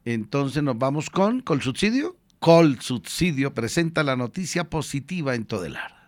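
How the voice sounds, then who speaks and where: A man with a Colombian accent hosting an informal radio interview, slangy, teasing and quick to interrupt. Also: medium pitch, big pitch swings.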